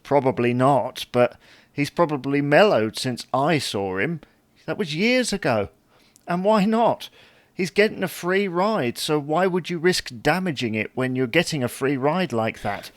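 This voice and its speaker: An actor playing an exasperated, put-upon husband in a comedy audio drama, bickering with indignant sarcasm. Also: male voice, on the high side, expressive, measured pace, dry recording.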